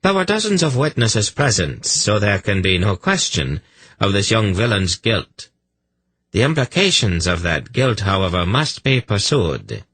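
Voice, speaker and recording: A strident fantasy inquisitor performed by a man, raised in pitch and rough-edged. He hammers out accusations with rigid zeal. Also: sing-song, a little slow, fairly bright tone, dry recording, full character voice, low-quality recording. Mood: confident accusation. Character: zealous male priest inquisitor, rigid and accusing.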